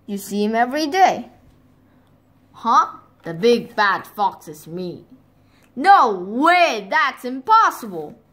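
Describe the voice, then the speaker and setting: A woman's voice: a little high, sing-song, takes it slowly, pauses often, a bit bright, exaggerated voice. A woman voicing a timid male fox who wants to seem scary, with a whiny, pleading way of talking. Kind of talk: storybook read-aloud.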